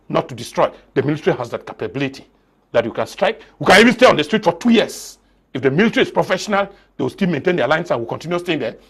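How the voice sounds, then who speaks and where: Fast-talking husky man. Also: fairly high pitch. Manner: insistent, argumentative. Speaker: panelist. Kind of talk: TV panel debate.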